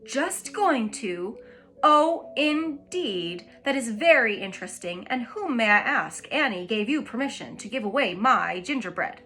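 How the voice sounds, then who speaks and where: A woman voicing a tiny, ancient, fierce old shopkeeper with a shrill, cackling voice who swings from sweet to menacing. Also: expressive, moderate pace, bright, forceful tone, faint music behind.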